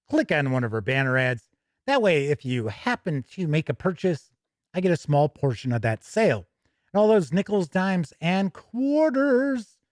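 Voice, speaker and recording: Animated man hosting a casual livestream. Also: on the high side, dry recording, studio quality. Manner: animated, folksy, jokey storytelling. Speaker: host of a tabletop gaming news livestream. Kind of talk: casual livestream chat with viewers.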